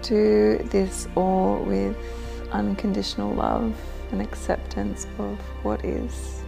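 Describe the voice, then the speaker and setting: Woman, meditation guide, very creaky voice, slow unhurried pace, gentle soothing instructions, faint music behind. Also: medium pitch, fairly even intonation.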